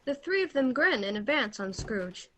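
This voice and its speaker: High-voiced woman narrating a play. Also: sing-song, normal speed, a little hiss or hum. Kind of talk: scripted narration of stage directions. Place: remote home recording over video call.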